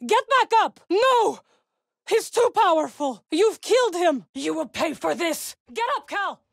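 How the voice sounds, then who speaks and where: A woman's voice: extremely high pitch, big pitch swings, unhurried, gravelly, dry recording, studio quality, full character voice. An actress voicing a proud young witch warrior in a video game, speaking in short, formal, commanding lines. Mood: desperate, urgent. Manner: formal, clipped, commanding phrasing. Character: fierce young witch warrior, proud, dry, loyal ally.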